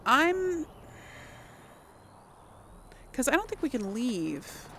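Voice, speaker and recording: A woman's voice: high-pitched, big pitch swings, extremely slow, fairly bright tone, slight background noise. A woman giving casual let's play commentary as host of a gaming series, chatty and thinking aloud as she plays. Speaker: host of a role-play video game series.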